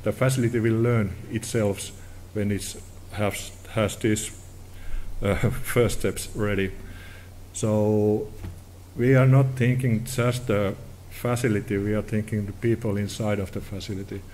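A middle-aged Finnish man with a Finnish accent giving a conference presentation in halting, informal English at a podium. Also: lowish voice, fairly even intonation, a little mellow, a little hiss or hum. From Finland. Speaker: technology director presenting at a conference. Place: indoor conference hall.

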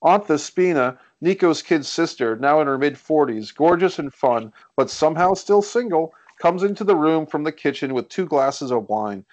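A man's voice: a little high, lively intonation, normal speed, few pauses, darkish tone. A man reading stage directions as scripted narration at a screenplay table read.

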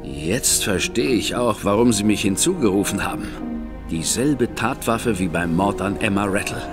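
Male actor as composed detective, deep voice, precise, courteous, audio drama, faint music behind. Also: expressive, normal speed, close to an everyday voice. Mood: probing. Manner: precise, inquisitive, formal. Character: shrewd, composed gentleman detective, courteous and probing. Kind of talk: German audio drama acting.

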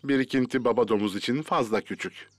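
A man narrating a preschool cartoon as a friendly storyteller, in simple, clear sentences. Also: mid-range voice, fast, lightly acted. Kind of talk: children's cartoon scripted narration. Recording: prominent music behind the voice.

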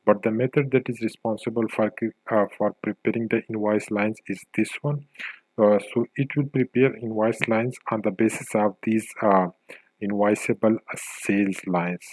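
Low-voiced man narrating a coding tutorial. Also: fairly even intonation, moderate pace, darkish tone, dry recording, poor audio. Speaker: software tutorial instructor.

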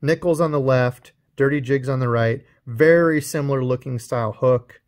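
A man's voice: medium pitch, moderate pace. Chatty man explaining fishing gear. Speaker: fishing channel host. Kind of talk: casual unboxing show-and-tell.

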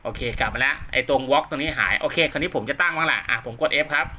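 A man's voice: on the high side, quick pace, pushed and bright. A man talks viewers through steps in a casual, conversational, polite way, often repeating himself. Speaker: host of radio equipment tutorial. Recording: clearly noisy home-made recording with clicks.

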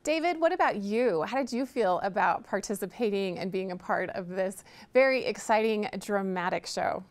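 A woman's voice: medium pitch, moderate pace. A woman interviewer reading polished, friendly questions on a studio set.

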